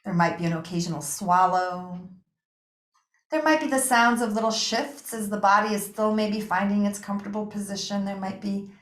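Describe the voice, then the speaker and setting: A woman leading a guided meditation as a yoga nidra teacher, speaking in a gentle, soothing, unhurried way with pauses. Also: mid-range voice. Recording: echoey room.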